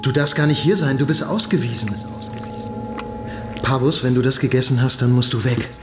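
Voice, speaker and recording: An actor playing an agitated, excitable socialist comrade in a German radio play. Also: male voice, mid-range voice, normal speed, music in the background, natural voice. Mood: alarmed.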